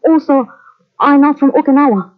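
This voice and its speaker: An actress playing a frightened young island woman who speaks halting, broken English, on a tinny old radio drama recording. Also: high-pitched, lightly acted. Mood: earnest. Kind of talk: 1940s radio acting.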